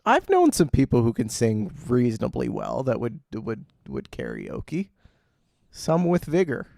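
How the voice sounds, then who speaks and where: A man's voice: mid-range voice, wildly swooping pitch, a little slow, creaky, mellow, no echo. A man co-hosting a radio show in casual banter, with a dry, wry way of talking. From Canada. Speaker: co-host of a local music and chat radio show.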